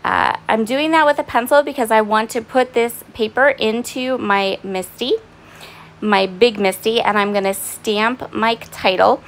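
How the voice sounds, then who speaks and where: A woman giving a casual, chatty voice-over of her craft project at home, her voice raspy and hoarse and she often pauses with "um". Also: on the high side, steady tone. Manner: chatty and apologetic, with frequent "um"s. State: hoarse, voice partly fading. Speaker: craft vlogger. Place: at home, in bed.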